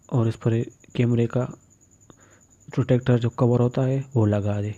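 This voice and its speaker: A man's voice: medium pitch, mellow. A man giving step-by-step repair instructions as a tutorial voice-over, in a plain, matter-of-fact way. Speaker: phone repair tutorial presenter. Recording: dull, muffled lo-fi recording.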